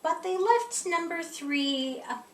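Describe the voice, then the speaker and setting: Lady reading a kids book out loud, high voice, kinda echoey with a bit of noise. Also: lively intonation, slow pace. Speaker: storyteller reading a children's picture book aloud. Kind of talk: expressive children's story read-aloud. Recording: roomy echo, distant mic, faint noise.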